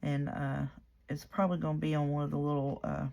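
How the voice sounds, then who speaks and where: Hoarse, gravelly woman. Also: low-pitched, flat delivery, a little fast. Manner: soft-spoken and rambling. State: hoarse from a cold. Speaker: craft vlogger. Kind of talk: casual crafting chat.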